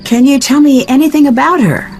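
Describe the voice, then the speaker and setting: An actress playing an inquisitive, composed young detective in a video game, probing and matter-of-fact. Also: female voice, high voice, fairly quick, a little mellow, soft background music.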